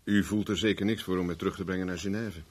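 An actor in a 1970s Dutch radio play, playing a cocky private investigator with a dry, teasing, self-mocking manner. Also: male voice, low-pitched, steady tone, fast, darkish tone. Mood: dry, probing. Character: wry, cocky male private investigator for hire.

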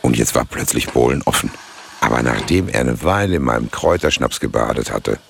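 An actor playing a gruff, chummy schnapps-brewing pal who narrates a satirical radio drama in colloquial German, with a deep gravelly voice. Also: male voice, expressive, a little fast, a little hiss or hum, heavily acted.

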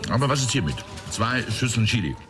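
An actor playing a naive, cheeky sidekick to a detective in a German radio crime drama, teasing and casual. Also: male voice, medium pitch, very animated, quick pace, a crowd in the background, lightly acted. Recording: music behind the voice.

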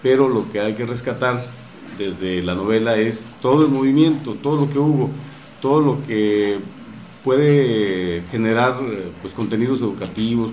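Low male voice, Mexican accent, explanatory interview answers, hesitant phrasing, echoey room, faint noise. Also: darkish tone. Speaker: radio drama producer at a university station. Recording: roomy echo with faint background noise.

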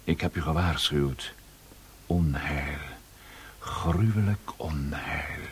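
A man playing a foreboding priest in a radio play, gravely warning of doom in an ominous manner. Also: a bass voice, slow pace. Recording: hissy old recording, distant.

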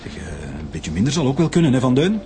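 An actor playing a bossy, reproachful Flemish police director in a radio drama. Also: male voice, mid-range voice, big pitch swings, fast talker, lightly acted. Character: demanding, gruff male police director. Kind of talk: naturalistic radio drama acting. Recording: music clearly under the dialogue.

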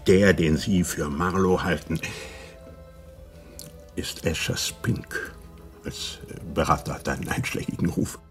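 An actor playing a blunt, stern intelligence interrogator in a radio drama, confrontational and deliberate in delivery. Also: male voice, low voice, soft background music. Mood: accusing.

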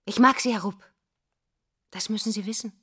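A woman's voice: mid-range voice, steady tone, moderate pace, pushed and bright, husky. An actress playing a pupil's mother in a radio drama, seductive and menacing, brazen and wounded, with a provocative, insinuating delivery. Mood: teasing, insinuating. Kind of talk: stage-like radio drama dialogue.

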